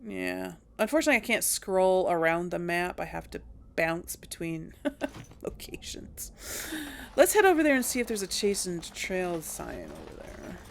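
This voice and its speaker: Unhurried woman gamer musing over her choices, hesitant, a touch low and gravelly. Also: sing-song, slight background noise. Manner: thinking aloud, hesitant, chuckling. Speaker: let's play gamer. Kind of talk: casual gameplay commentary.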